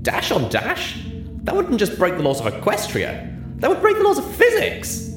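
A man voicing a brash, tipsy, aging female pegasus pony who talks loud and swaggering. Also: high voice, huge pitch swings, moderate pace, voice pushed up. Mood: teasing. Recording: roomy sound with music underneath.

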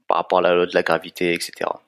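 A man in his thirties from France speaks in a somewhat low voice, relaxed and a little hesitant, filling gaps with small pauses. The sound is dry and close. He talks like an interview guest explaining himself in plain, easy words. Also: dry recording. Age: 30s. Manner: relaxed, hesitant with frequent fillers. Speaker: guest, fitness coach and content creator. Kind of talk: casual interview chat.

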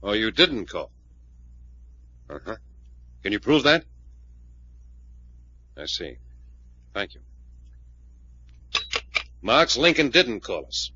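An actor playing a tough, probing investigator in a 1940s radio drama, with a blunt, sardonic, interrogating manner. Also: male voice, lowish voice, big pitch swings, takes it slowly, long pauses, pushed and bright, breathy edge, no room sound, full character voice. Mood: firm, accusing. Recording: muffled old-time radio recording.

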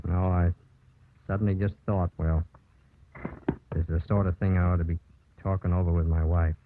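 An actor playing an earnest married small-town lawyer in love, halting as he speaks, in old-time radio drama style. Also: low-pitched, little pitch movement, slight background noise, natural voice. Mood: troubled, hesitant. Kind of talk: 1940s-50s radio drama acting. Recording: muffled old radio broadcast.

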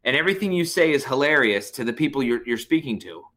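A young American man chatting as a podcast guest, loose and rambling. He swears freely and drops into quick acted-out quotes for laughs. His voice sits at an ordinary middle pitch. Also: expressive, bright, forceful tone. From United States. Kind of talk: casual podcast chat.

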